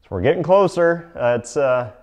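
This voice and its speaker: Chatty upbeat man with a lively voice. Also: on the high side, moderate pace. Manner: chatty and upbeat, frequent uh fillers. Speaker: vlogger, truck parts seller. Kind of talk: casual vlog narration. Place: garage, driveway and large exhibition hall.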